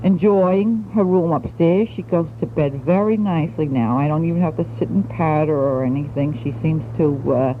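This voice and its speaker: An old home cassette, narrowband and faintly noisy, carries a young woman from the United States. She chats to family in a casual, hesitant, gently teasing way. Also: sits low, moderate pace, few pauses. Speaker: wife and mother recording a taped letter. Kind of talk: casual spoken letter to family. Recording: muffled, noisy 1960s home cassette tape.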